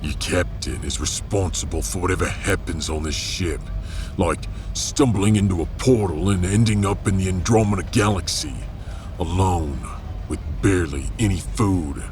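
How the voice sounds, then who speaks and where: A man playing a rough, defiant refugee ringleader in a sci-fi audio drama, colloquial and taunting. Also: deep voice, normal speed, background noise, voice pushed down, lightly acted.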